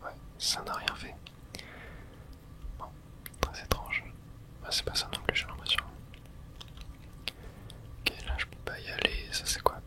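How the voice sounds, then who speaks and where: A person whispering a breathy, unvoiced ASMR running commentary while playing a video game, in a soft, hesitant murmur. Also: unhurried, pauses often, poor audio. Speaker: gaming video host.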